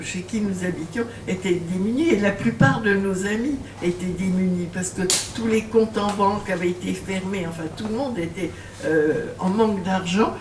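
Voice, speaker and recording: A woman's voice: medium pitch, a little mellow. An older woman author being interviewed in a bookshop, talking hesitantly with many fillers and laughing when she tells stories. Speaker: author and guest. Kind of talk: bookshop talk with audience. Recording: roomy, distant mic, faint noise.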